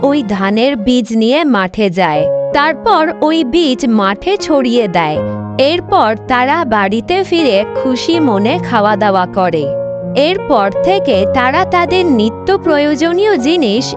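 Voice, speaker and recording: A woman narrating a scripted fairy-tale cartoon in an expressive storytelling manner. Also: high-pitched, unhurried, over loud music.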